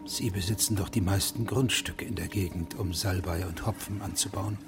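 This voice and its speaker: An actor playing a weary, evasive judge in a German radio drama, speaking in a measured, restrained way. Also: male voice, low voice. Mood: resigned, low. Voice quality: gravelly. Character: weary, evasive male judge leaving a village. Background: music.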